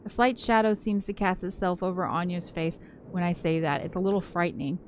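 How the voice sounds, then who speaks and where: A woman's voice: fairly low pitch, a little fast, a little hiss or hum. A woman co-hosting a game playthrough, reading visual novel narration aloud and adding casual commentary. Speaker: let's play co-host, narrator. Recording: music playing behind the voice. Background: game soundtrack music.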